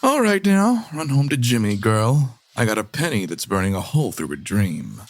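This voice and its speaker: An actor playing a sly, menacing crook with theatrical hardboiled patter in a comedy adventure audio drama. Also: male voice, medium pitch, sing-song, moderate pace, a little hiss or hum. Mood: sly, conspiring.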